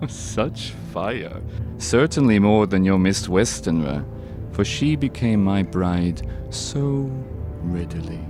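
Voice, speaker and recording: A man's voice: sits low, lively intonation, slow. An actor playing a suave, menacing male vampire count, formal and taunting, in an audio drama. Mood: suave mocking. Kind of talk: theatrical audio drama acting. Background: music.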